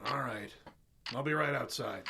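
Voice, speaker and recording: A man's voice: medium pitch, normal speed, gravelly, noisy background, natural voice. A male voice, an actor playing an officer standing guard in an audio drama. He is curt, watchful and authoritative. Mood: curt, wary.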